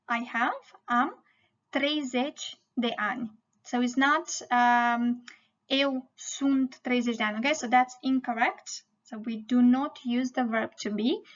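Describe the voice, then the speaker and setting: A woman's voice: sits high, a bit bright, highs cut off. A woman teaching a language lesson, explaining didactically and often checking in with the learner.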